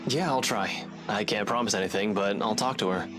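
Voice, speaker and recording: A man's voice: fairly low pitch, brisk, lightly acted. An actor playing a serious, guarded male friend in a visual novel, protective and dry in manner. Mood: concerned, dry. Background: music over game dialogue.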